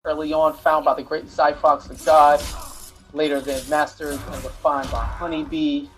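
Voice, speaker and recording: A man's voice: a little high, level tone, normal speed, husky, low-quality recording. A man doing loose, joking play-by-play commentary on a fighting game tournament. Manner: hype, loose, jokes with co-caster. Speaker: esports commentator.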